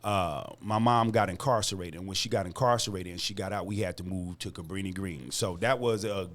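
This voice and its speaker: A man's voice: low-pitched, brisk, a bit bright. An American man from Chicago speaking as a music artist guest in a casual interview, slangy and emphatic. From Chicago, United States.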